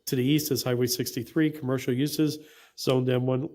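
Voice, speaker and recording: Flat-voiced man reading a staff report. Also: medium pitch, normal speed. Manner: flat, matter-of-fact. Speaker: city staff.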